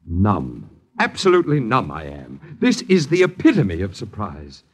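A man's voice: lowish voice, huge pitch swings, unhurried, slightly rough, exaggerated voice. A sardonic American lodger in an old-time radio play. His delivery is florid and theatrical, swooping up and down as he needles his miserly landlords with mock grandeur. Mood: grandly ironic. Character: sardonic, wordy male boarder mocking his miserly landlords. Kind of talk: 1950s American radio drama acting. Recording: 1950s radio broadcast recording.

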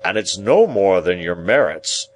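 A man's voice: low voice, lively intonation, a little slow, slight background noise. A man playing a pompous, self-important older uncle, emphatic and patronising, in an audiobook. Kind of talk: audiobook character voice.

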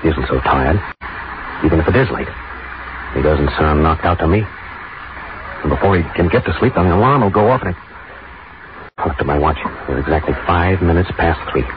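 An actor playing an anxious, lovestruck man plotting a crime, in tense, halting 1940s radio thriller style. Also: a bass voice, expressive. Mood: nervous. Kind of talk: 1940s radio thriller acting, first-person narration. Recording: noisy, muffled 1940s radio recording.

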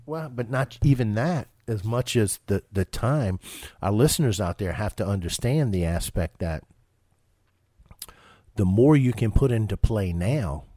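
A man's voice: fairly low pitch, expressive, measured pace, warm tone, no room sound, studio quality. A man hosting a financial radio show, talking casually and often restarting his sentences with repeated words.

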